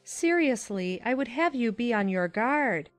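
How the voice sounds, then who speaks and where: A woman playing a sensible, kindly aunt in an audiobook drama, giving grave advice in a measured, admonishing manner. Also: on the high side, lively intonation, no echo, soft background music. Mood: earnest caution.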